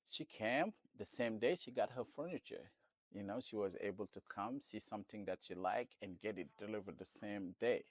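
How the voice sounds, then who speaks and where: Store owner interviewee: man with a Senegalese accent, non-native English, low-pitched, conversational, close dry sound. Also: moderate pace, no room sound. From Senegal, West Africa. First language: not English. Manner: conversational, often adds "you know". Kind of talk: casual interview answers.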